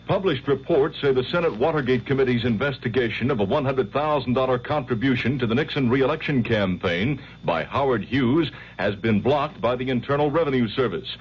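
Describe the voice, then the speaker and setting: A man anchoring an hourly radio newscast, reading in a crisp, measured news delivery. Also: mid-range voice, lively intonation. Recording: dull old radio broadcast with faint hiss.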